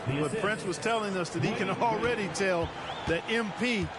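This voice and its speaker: A man's voice: high-pitched, normal speed, over a noisy crowd, natural voice. A man playing an American basketball color commentator, explaining the game in a conversational, analytical way.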